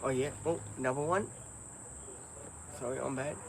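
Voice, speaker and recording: A man's voice: medium pitch, fairly even intonation, normal speed, vocal fry, background noise. Rambling Australian man, crickets behind. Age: 50s. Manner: rambling, hesitant, many ums. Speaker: livestreamer. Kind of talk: casual live-stream chat.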